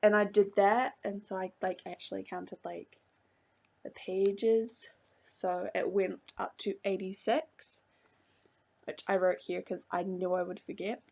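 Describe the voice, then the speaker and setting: A young woman vlogger chatting casually about her journal pages, rambling with many fillers and little laughs, her voice slightly hoarse from a coming cold. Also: mid-range voice, level tone, measured pace, a little mellow. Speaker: craft vlogger. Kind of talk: casual chat showing a journal.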